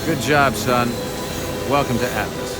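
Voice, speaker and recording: An actor playing an approving, paternal military commander in a war game. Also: male voice, medium pitch, a little slow, over music, close to an everyday voice. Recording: loud aircraft noise around voice.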